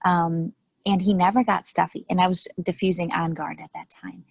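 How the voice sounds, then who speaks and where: A woman's voice: fairly low pitch, little pitch movement, moderate pace, gravelly, a little mellow. A woman in a casual chat telling a story, hesitant with many ums.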